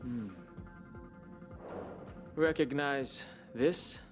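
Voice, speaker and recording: An actor playing a reserved young guardian in a video game, asking short, measured questions. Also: male voice, mid-range voice. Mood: guarded. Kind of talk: video game cutscene acting. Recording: game audio with background music.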